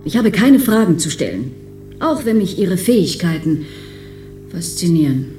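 Mellow-voiced, dutiful test examiner. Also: female voice, fairly low pitch, big pitch swings, moderate pace, soft background music. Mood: businesslike. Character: dutiful, cool female clinic test examiner. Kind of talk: German radio play acting. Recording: roomy, echoing studio sound.

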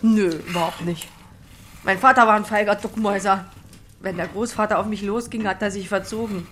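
A woman's voice: medium pitch, steady tone, a little fast, a bit bright, a little hiss or hum, close to an everyday voice. An actress playing a hardy, lonely farm woman in a German audio drama, blunt and plain-spoken. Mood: bitter, reflective.

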